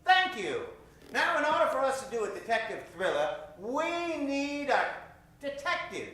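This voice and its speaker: Animated showman host in a very echoey theater. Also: extremely high pitch, normal speed. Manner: theatrical showman, projecting to a crowd. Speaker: stage host of an improv comedy show. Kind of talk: improv audience warm-up, gathering suggestions. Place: live theater stage. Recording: very echoey theater, distant mic.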